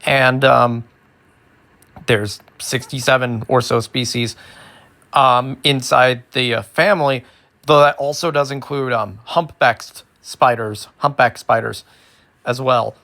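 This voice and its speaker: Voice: medium pitch, steady tone, slow pace, fairly bright tone. A host with a mid-range voice gives an educational ASMR talk. The delivery is informal and detailed, explaining things step by step and slipping in playful asides.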